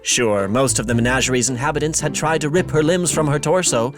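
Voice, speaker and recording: A man's voice: mid-range voice, a little fast. A man narrating a scripted fantasy audio drama in a wry, dramatic storytelling manner. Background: music.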